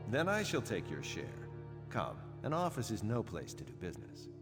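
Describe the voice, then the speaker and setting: A self-assured merchant schemer in a fantasy video game. His low voice glides smoothly and insinuatingly over clear music, in a long reverb. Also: wildly swooping pitch, normal speed. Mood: silky, scheming.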